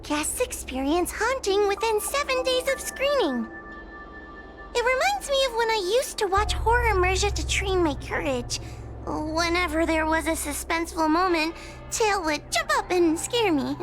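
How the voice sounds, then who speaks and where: A woman's voice: very high voice, animated, normal speed, a bit bright, faint music behind, heavily acted. A woman voicing a timid, anxious young female judge in a video game, speaking in a hesitant, polite and earnest way. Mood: anxious, wistful.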